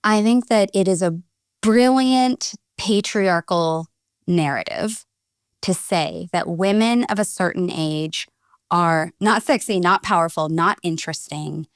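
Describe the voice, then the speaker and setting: A woman's voice: fairly low pitch, measured pace, no echo, studio quality. Reflective woman coach in her forties. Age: 40s. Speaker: guest, leadership coach. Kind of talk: casual interview chat.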